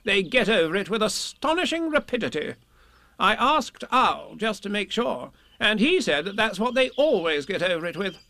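A man's voice: high-pitched, bright, forceful tone, voice pushed up, exaggerated voice. A man voicing a bossy, self-assured, scheming rabbit from a children's storybook, speaking in an emphatic, officious way. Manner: emphatic, officious, wordy explaining. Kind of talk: children's storybook character reading.

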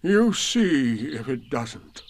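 A man voicing a gloomy, sarcastic old male donkey in a storybook reading, with a slow, mournful, self-pitying drawl. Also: mid-range voice, sing-song, no echo.

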